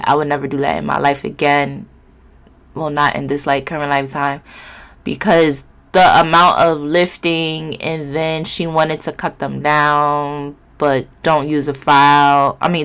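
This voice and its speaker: A woman with a low, gravelly voice that sounds stuffed up and nasal from a cold. She chats through her nail routine in a loose, rambling way, full of fillers. Her tone stays candid and unpolished. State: congested, sniffly, nasal. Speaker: nail vlogger. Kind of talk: casual chatty tutorial vlog.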